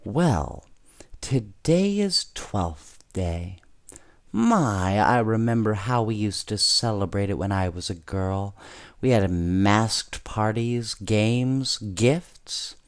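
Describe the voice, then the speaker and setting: A man voicing a kindly old woman in a bedtime story, gently reminiscing and explaining as she teaches knitting. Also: fairly low pitch, expressive, slow. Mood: fond reminiscing.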